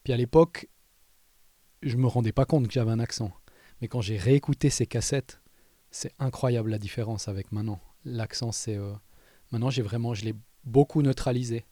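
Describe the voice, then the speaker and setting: Man, light Valais Swiss French accent, warm dark tone, dry sound, hesitant, filler words. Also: mid-range voice, steady tone, fairly quick, no room sound. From Valais, Switzerland. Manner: thoughtful, hesitant, many fillers. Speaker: interviewee. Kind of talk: casual street interview.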